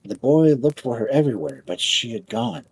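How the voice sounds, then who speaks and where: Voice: medium pitch, sing-song, normal speed, gravelly, darkish tone, slight background noise, low-quality recording. A storyteller reading a scripted fairy tale as narration.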